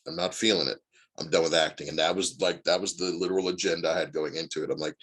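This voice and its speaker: A man's voice: sits low, fairly bright tone, husky. A man in his forties from Indiana, a guest actor chatting casually and telling long rambling stories full of fillers. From Indiana, USA. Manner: rambling storyteller with many fillers. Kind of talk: casual interview chat.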